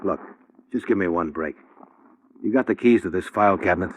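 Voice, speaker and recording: A man's voice: deep voice, fairly quick, low-quality recording. A man playing a wry, persistent insurance investigator with an American accent in a 1950s radio detective drama, speaking in a clipped, hard-boiled way. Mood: coaxing, persistent.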